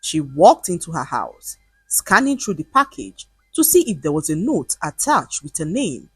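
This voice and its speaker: A woman narrating a scripted folktale in an expressive, dramatic storytelling manner. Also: low-pitched, moderate pace, breathy edge, no echo.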